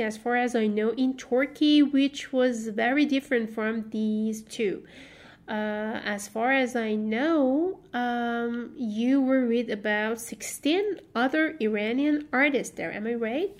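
A woman's voice: a little high, measured pace. A woman interviewer asking a guest questions, hesitant and checking her facts.

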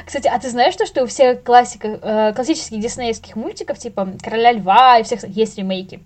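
A young woman chats casually as a podcast guest. Her voice sits fairly high and she talks very quickly. Her phrasing is slangy, with small acted-out exclamations.

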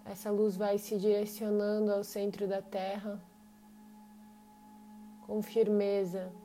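A woman with a Brazilian accent leads a guided meditation in short, unhurried phrases separated by long pauses. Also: mid-range voice, monotone, warm tone, slight background noise. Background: meditation music with singing bowls.

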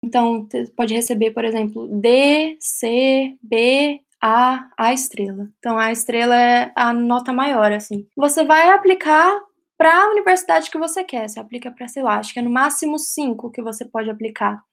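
A young woman talks in a high, bright voice. She explains things at length in an easy, chatty way, often checking in with tag questions. She sounds like a guest in a relaxed interview. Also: moderate pace. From Brazil. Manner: chatty, explains at length with frequent "né?" and light laughs. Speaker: guest, professional ballerina living in England. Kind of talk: casual interview answers.

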